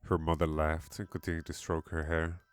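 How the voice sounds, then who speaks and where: A man narrating a scripted horror story in a measured, dramatic manner. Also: rumbling low, flat delivery, clear, resonant tone. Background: background music.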